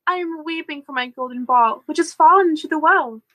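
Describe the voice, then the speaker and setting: A woman's voice: high-pitched, a little fast, no room sound, muffled recording, natural voice. A woman voicing a spoiled, pleading young princess in a fairy tale read aloud, with theatrical old-fashioned delivery. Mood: woeful.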